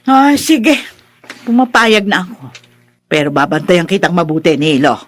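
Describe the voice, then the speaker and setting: A woman's voice: lowish voice, expressive, normal speed. A woman with a Filipino accent playing a bossy, suspicious middle-aged stepmother in a radio drama, scolding and theatrical. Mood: stern, threatening. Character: haughty, suspicious, bossy mother and cruel stepmother.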